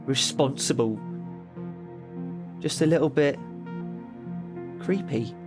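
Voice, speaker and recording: A man's voice: mid-range voice, slow pace, music in the background, lightly acted. A man playing an aggrieved, foul-mouthed teenage son in an audio drama, slangy and sardonic. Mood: sardonic storytelling.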